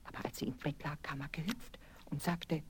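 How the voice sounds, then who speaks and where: A woman narrating a scripted fairy tale for children in an expressive, deliberate storytelling manner. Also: deep voice, whispered. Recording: vinyl record transfer with music.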